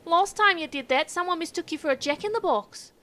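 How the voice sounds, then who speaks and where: A woman playing a brash, dim, indignant Australian show presenter in an audio comedy, loud and matey. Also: very high voice, lively intonation, a little fast, full character voice.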